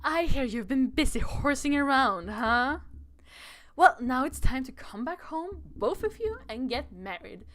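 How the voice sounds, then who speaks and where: Woman reading out a scolding high-voiced older princess sister. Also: sing-song, unhurried, fairly bright tone, full character voice. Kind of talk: reading visual novel dialogue aloud.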